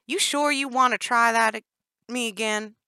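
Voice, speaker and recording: A woman voicing a wiry, volatile female kidnapper with an explosive temper and a Southern twang, loud and brash. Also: on the high side, moderate pace, clean tone, no room sound, studio quality, voice pushed up. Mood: threatening. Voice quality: brash and shouting.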